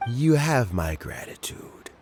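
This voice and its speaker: A man voicing a kindly, dignified old statesman in a video game, measured, formal and gentle. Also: medium pitch, sing-song. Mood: grateful. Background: music.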